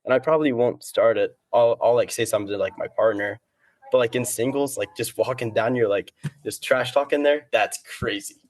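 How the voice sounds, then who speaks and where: A man's voice: mid-range voice, brisk, no room sound. A man chatting casually as a guest, easygoing and slangy, rambling as he goes. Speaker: interview guest, competitive cornhole player. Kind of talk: casual chat.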